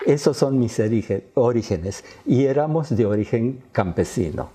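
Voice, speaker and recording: A man's voice: medium pitch, lively intonation, unhurried, mellow, husky. A man in his eighties with an Argentine accent, a native Quechua speaker, recounting his life as an interview guest. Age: 80s. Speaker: interview guest, former radio and TV announcer. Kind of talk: autobiographical storytelling in an interview.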